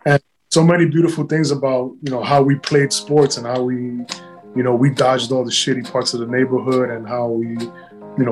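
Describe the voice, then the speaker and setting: A man's voice: medium pitch, low-quality recording. A man, a guest artist talking bluntly and swearing freely in a casual podcast chat. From South Bronx, New York.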